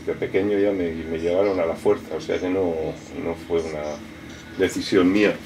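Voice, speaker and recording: A middle-aged man whose first language is French, answering interview questions in a halting way, with many restarts and fillers. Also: deep voice, fairly even intonation. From raised in France. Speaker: artist. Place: cluttered studio with computer and speakers. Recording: distant mic, audible room noise.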